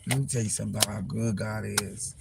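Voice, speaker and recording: A man vlogging casually from his car, hoarse and losing his voice from a chest cold, talking in a rambling, profane, frank way. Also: on the low side, fairly even intonation, quick pace, very noisy. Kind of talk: casual vlog monologue. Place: parked car.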